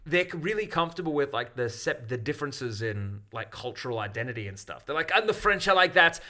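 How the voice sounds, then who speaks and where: Guy doing jokey podcast banter, really bright punchy voice, slips into silly voices. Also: mid-range voice, expressive, fairly quick. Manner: riffing, jokey, often slipping into mock voices. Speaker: podcast co-host. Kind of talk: casual comedic podcast banter.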